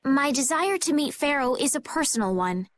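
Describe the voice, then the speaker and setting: A woman voicing a gentle, determined young noblewoman in video game dialogue, formal and courteous. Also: sits high, animated, moderate pace. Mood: earnest.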